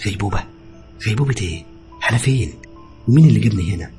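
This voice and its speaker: A man's voice: lowish voice, animated, very fast, dark tone, soft background music, lightly acted. A man voicing a confused, indignant young patient, questioning and insistent, in an Egyptian Arabic audio story. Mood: bewildered. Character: bewildered, indignant young male patient, horror-story hero.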